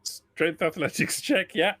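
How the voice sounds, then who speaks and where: Man, game master, improvised scene narration, halting and rephrasing, dry close recording. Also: high voice, pushed and bright, dry recording.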